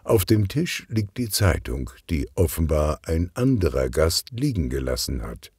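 A man narrating a children's detective story in an expressive, scripted audiobook style. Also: rumbling low, a little slow, no echo, clean studio sound. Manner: expressive, clearly articulated storytelling.

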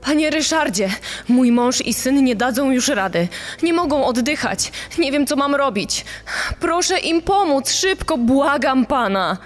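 A woman's voice: high voice, lively intonation, measured pace. An actress playing a bereaved wife and mother, grave and distressed, in a true-crime podcast dramatization. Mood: desperate, pleading. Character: bereaved wife and mother recounting a mountain tragedy.

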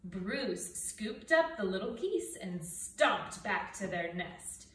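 A middle-aged woman gives an expressive children's read-aloud, unhurried and full of swoops in pitch. She is recorded in a roomy space with dramatic emphasis on her words. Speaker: storybook reader. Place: indoors, living room by brick fireplace and window. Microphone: camera a few feet away. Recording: roomy, distant mic, faint noise.

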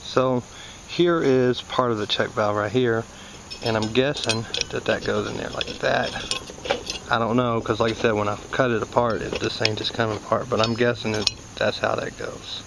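Low, gravelly man explaining hands-on. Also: fairly even intonation, normal speed. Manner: folksy, thinking aloud. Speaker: HVAC technician demonstrating parts. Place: outdoors in a yard by a scrap pile. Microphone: handheld camera held by the speaker. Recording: noisy outdoor recording with insects.

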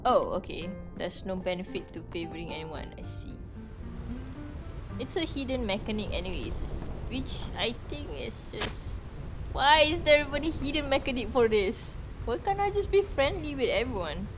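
A woman's voice: a little high, big pitch swings, normal speed. A young woman from Malaysia chatting casually while playing a game, thinking aloud with fillers. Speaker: streamer. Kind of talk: casual let's-play commentary. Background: game music.

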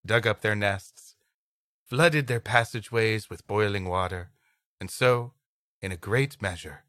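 A man's voice: on the low side, measured pace, a bit bright, dry recording, studio quality. A man co-hosting a podcast, reading an old adventure story aloud and bantering playfully about it. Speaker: podcast co-host.

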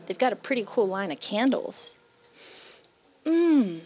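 High-voiced, enthusiastic American woman presenting. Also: sing-song, unhurried, mellow, breathy edge. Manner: enthusiastic, chatty, exclamatory. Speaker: host of a local shopping show. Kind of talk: upbeat promotional presenting to camera. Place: small home decor shop, indoors. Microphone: handheld microphone.

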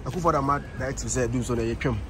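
A man's voice: mid-range voice, rapid-fire. A man casually interviewing outdoors, bantering in a mix of Twi and English. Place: outdoors at an airport car park. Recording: very noisy windy outdoor traffic ambience.

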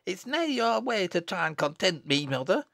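A man's voice: high voice, sing-song, moderate pace, gravelly, bright, forceful tone, no room sound, studio quality, voice pushed up, exaggerated voice. A man voicing a spiteful, knowing little village girl in an audiobook, with a pert, taunting manner. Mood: pert, resentful.

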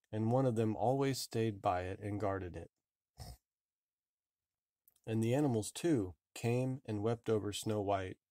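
Slow-paced man reading a fairy tale. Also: on the low side, warm tone, dry recording, clean studio sound. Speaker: storybook reader. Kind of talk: expressive fairy-tale narration, read aloud.

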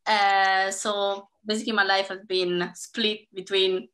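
A woman's voice: medium pitch, little pitch movement, measured pace, a bit bright. A woman in her thirties from Italy with an Italian accent, introducing herself as a dance educator on a video call, chatty with many fillers. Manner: chatty, many fillers, laughs between phrases. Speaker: panel guest, dance educator. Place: home room. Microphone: laptop webcam mic on video call.

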